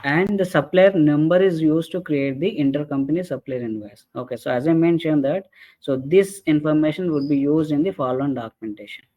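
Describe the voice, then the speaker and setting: A man presenting an explanatory software training webinar in a halting way, often checking in with short tag questions. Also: a little high. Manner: halting, repeatedly checks with "right?" and "okay". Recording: dull lo-fi webinar audio.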